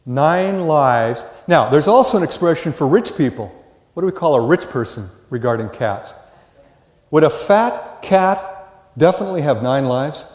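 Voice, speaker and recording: Animated older American man lecturing in echoey hall. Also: medium pitch. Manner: folksy, animated storytelling with rhetorical questions. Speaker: college lecturer. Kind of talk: lively classroom lecture with questions to students. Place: large indoor classroom or lecture hall.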